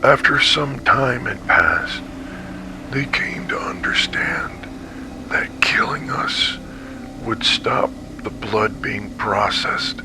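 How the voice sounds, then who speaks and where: An actor playing a traumatized, weakened male survivor giving halting, breathy testimony in an analog horror story. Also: lowish voice, expressive. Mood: haunted, grim. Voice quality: breathy, whispery. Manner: slow, halting, haunted. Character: traumatized male survivor of a monstrous captivity, haunted and weak.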